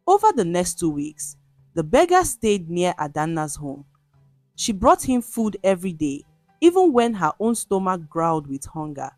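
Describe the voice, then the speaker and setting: Animated woman storyteller, lowish voice. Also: dry recording. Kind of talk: scripted folktale narration.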